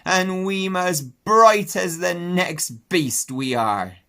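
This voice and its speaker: Man doing a hoarse croaking old ewe, gruff motherly sheep, rustic country talk, slow drawl, high strained voice, storybook reading. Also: bright, forceful tone, heavily acted.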